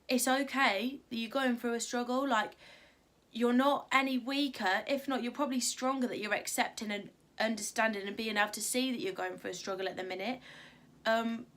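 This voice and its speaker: A young woman vlogging at home with a croaky morning voice, chatty and rambling with lots of fillers, giving encouraging advice. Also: fairly high pitch, fairly bright tone. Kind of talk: casual talk to camera.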